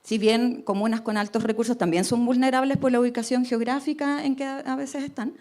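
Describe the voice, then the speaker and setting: A woman's voice: fairly high pitch, fairly even intonation, brisk, a little mellow. Thoughtful woman researcher on a panel. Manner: thoughtful, tag questions, restarts. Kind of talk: radio panel discussion.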